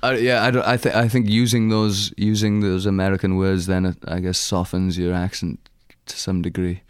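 Soft-spoken young Welsh man, very deep. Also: flat delivery, clear, resonant tone, no echo, clean studio sound. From Wales. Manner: soft-spoken, halting, laughs often. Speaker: musician guest. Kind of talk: casual interview chat.

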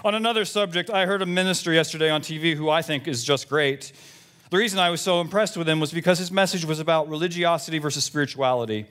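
A man plays a busybody church lady in her seventies, reading out her letters for comedy. The delivery is blunt and gossipy, with indignant, self-righteous complaints in a slightly high pitch. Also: quick pace, a bit bright, clear, resonant tone, close to an everyday voice. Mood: chatty, prim. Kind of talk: comic reading of emails aloud.

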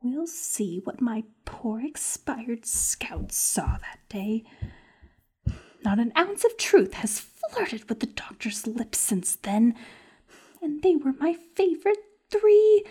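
A woman's voice: high voice, big pitch swings, takes it slowly, warm tone, breathy edge, full character voice. A woman playing a scheming, mock-sweet villain in an audio drama, theatrical and gloating, drifting into whispers. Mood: mock pity.

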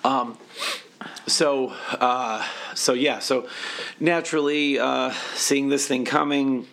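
A man co-hosting a podcast, slightly hoarse, joking and swearing in rambling casual banter. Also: mid-range voice, fairly even intonation, takes it slowly, fairly bright tone. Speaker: podcast co-host.

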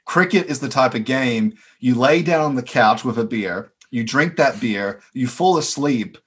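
A man's voice: mid-range voice, normal speed, slightly rough. A man with an Australian accent, a podcast guest chatting casually and laughing now and then. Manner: relaxed, chatty, laughs often. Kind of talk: casual chat.

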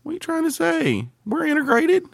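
A man's voice: very high-pitched, wildly swooping pitch, a little fast, vocal fry, fairly bright tone, no echo, clean studio sound. An American man co-hosting a casual podcast, talking in a blunt, crude, colloquial way. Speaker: podcast co-host.

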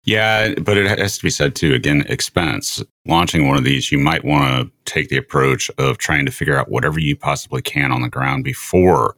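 A man with an extremely deep voice hosts a casual conversation. His speech is informal and the recording is dry, with no room sound. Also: normal speed, vocal fry, few pauses, a bit bright, dry recording. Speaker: show host.

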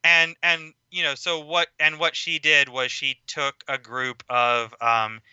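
A man speaking as a podcast guest in casual film discussion, talking in a measured, explanatory way at length. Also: on the high side, little pitch movement, bright, forceful tone, clean tone, no echo, highs cut off.

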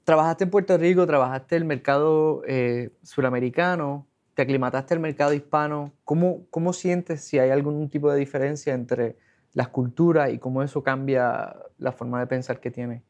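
A man's voice: on the high side, normal speed, darkish tone. A man interviewing a guest in casual conversation, asking long, rambling questions. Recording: dull lo-fi sound.

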